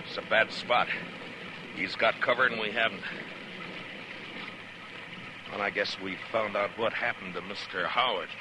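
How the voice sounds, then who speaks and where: An actor playing a steady, world-weary frontier marshal in a 1950s radio western, terse, plain-spoken and commanding. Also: male voice, fairly low pitch, normal speed, natural voice. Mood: tense, low. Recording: noisy old 1950s radio recording.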